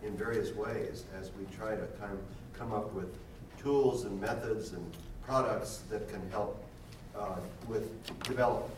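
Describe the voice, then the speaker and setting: An older man with a lowish voice offers a comment from the audience, pausing and restarting as he looks for words. He is far from the microphone, so the hall's echo carries his voice. Clear background noise runs under it. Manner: hesitant and searching for words. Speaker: audience member sharing a comment. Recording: echoey hall, distant mic, clear background noise.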